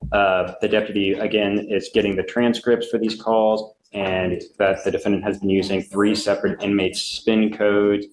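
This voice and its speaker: Low, monotone male prosecutor in echoey courtroom. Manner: halting, matter-of-fact. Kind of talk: formal report to a judge. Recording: echoey courtroom, faint noise.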